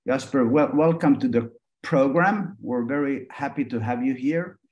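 A middle-aged man from Finland, a foundation trustee, hosting a webinar on a video call in a measured way, with many pauses. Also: mid-range voice, darkish tone, highs cut off. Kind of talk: presenting and interviewing on a video call.

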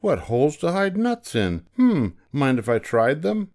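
A sly little storybook squirrel, as a man puts him on, eager and hushed. The pitch rides high and slides around expressively. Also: big pitch swings, measured pace. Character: small, sly, nut-hoarding male squirrel.

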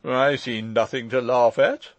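A man's voice: fairly low pitch, very animated, a little slow, no room sound, dull audio, exaggerated voice. A man voicing a pompous, fussy old uncle in an audiobook story, speaking in a formal, long-winded and pedantic way. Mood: indignant.

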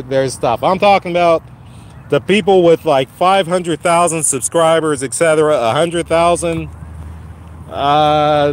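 A man hosting his first live stream from a phone while walking a dog outside, his voice slightly raspy from a cold, casually encouraging viewers. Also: a little high, slight background noise. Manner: encouraging, repetitive, addresses viewers by name. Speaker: channel host. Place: outdoors on a lawn walking a dog. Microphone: phone held while walking.

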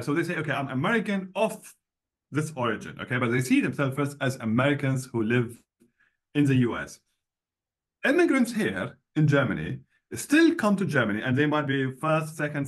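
A middle-aged man talking from a room with bookshelves over a video call, explaining his views with many restarts and asides. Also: medium pitch. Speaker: interview guest. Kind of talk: casual video call interview.

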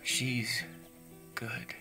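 A man's voice: very slow, rough recording. A man playing a lonely, lovelorn youth in an audio drama monologue, wistful and confiding. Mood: dreamy, devoted. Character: lonely, lovelorn, secretive youth musing alone. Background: soft plucked guitar music.

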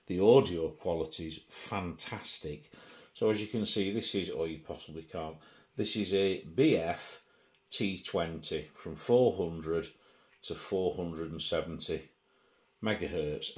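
A man's voice: deep voice, measured pace, a little mellow. A middle-aged man giving a casual hands-on gadget demonstration, presenting indoors at a table.

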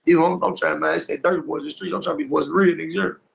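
A young American man with a very gravelly, crackling voice that sits a bit high. He talks in casual, slang-heavy street talk on a phone video call, repeating phrases emphatically and tacking questions onto his sentences. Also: fairly even intonation, very fast. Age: young adult. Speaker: rapper being interviewed. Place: small indoor room, on a video call. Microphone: phone at arm's length on video call.

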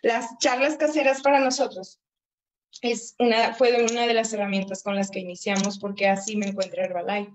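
A woman's voice: mid-range voice, flat delivery, moderate pace, slight background noise. A woman in her late thirties giving a chatty motivational training talk over a video call, often asking and answering her own questions. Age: 30s. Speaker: network marketing trainer.

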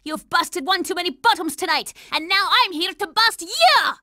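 A woman's voice: very high-pitched, expressive, normal speed, pushed and bright, dry recording, clean studio sound, full character voice. A woman voicing a bossy, hot-tempered young girl operative in a cartoon video game, brash and commanding. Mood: fierce, commanding.

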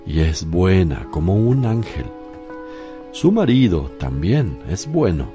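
Man playing gentle male teacher, religious radio play, unhurried, expressive intonation, solemn reassurance. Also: deep voice, music in the background, full character voice. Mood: reassuring. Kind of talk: religious radio drama acting.

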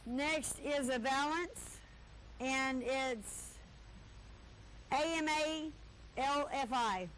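A woman's voice: sits high, very slow, long pauses, a bit bright, a little hiss or hum, poor audio. A woman in her thirties hosting a live local TV auction from a cluttered stockroom, showing items one by one and joking with the crew. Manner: chatty, joking asides to the crew. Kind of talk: live cable TV sales patter.

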